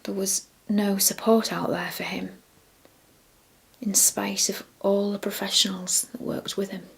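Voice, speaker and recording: An actress playing a grieving, devastated middle-aged mother, giving a breathy testimonial monologue in a film drama. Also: mid-range voice, level tone, measured pace, full character voice. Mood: bereft.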